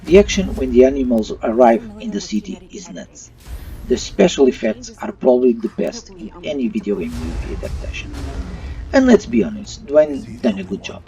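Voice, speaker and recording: A man's voice: mid-range voice, sing-song, normal speed, warm tone. A man giving an opinion review in voice-over, with non-native English phrasing. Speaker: YouTube movie reviewer. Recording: muffled, slightly distant mic. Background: faint music.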